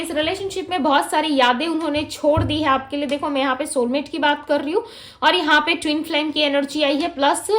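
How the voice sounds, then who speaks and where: High-pitched woman giving a tarot reading. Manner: Hindi mixed with English, frequent "ठीक है" fillers; gentle, reassuring, addresses viewers directly. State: throat feels heavy. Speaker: tarot reader.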